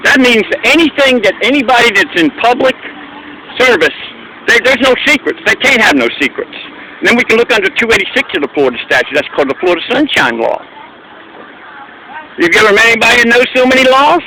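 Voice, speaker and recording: A man's voice: very high-pitched, very animated, quick pace, pushed and bright. An American man arguing persistently in casual street talk, repeating his questions and phrases. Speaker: street interviewer and activist. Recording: noisy outdoor recording with traffic.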